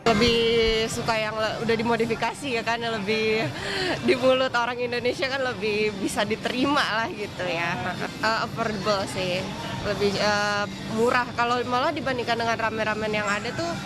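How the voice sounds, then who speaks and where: A woman's voice: fairly high pitch, fairly even intonation, normal speed. A woman giving a casual interview answer as a customer. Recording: crowd chatter and traffic behind.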